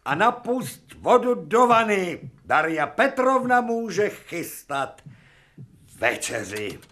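A gruff old surgeon professor barking orders. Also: male voice, sits high, very animated, fairly bright tone. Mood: brusque. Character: domineering older male surgeon professor, brusque and commanding. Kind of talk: radio play acting.

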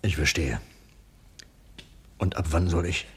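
An actor playing a modest, wryly resigned middle-aged security man, halting and reserved, in a German radio crime comedy. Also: sits low, breathy edge, full character voice. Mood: stunned, hurt. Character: ageing security man, modest, bitter after being sacked.

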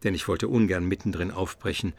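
An actor playing a cultured, cautious Byzantine gentleman in a radio drama, measured and thoughtful. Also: sits low, fairly even intonation. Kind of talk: radio drama acting and narration.

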